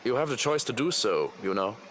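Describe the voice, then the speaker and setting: A man's voice: mid-range voice, big pitch swings, a little fast, a bit bright, slight background noise. An actor playing a surly, ominous servant in a visual novel, coolly insolent in his scripted warnings.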